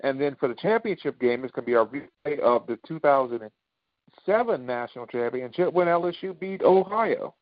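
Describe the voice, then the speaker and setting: A man's voice: medium pitch, expressive, a little fast, no room sound. A man on a talk show panel, debating casually in an insistent, argumentative way. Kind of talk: casual sports and current affairs debate. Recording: dry, dull lo-fi audio.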